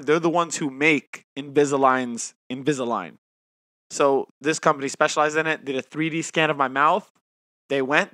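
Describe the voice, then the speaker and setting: A young man in his twenties chats casually as a podcast co-host, speaking with a noticeable lisp. His voice is bright and forward, recorded close and dry with no room sound. He rambles and pokes fun at himself. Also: mid-range voice, no room sound. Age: 20s. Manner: talks with a lisp from new aligner trays; chatty, self-mocking. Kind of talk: casual chat.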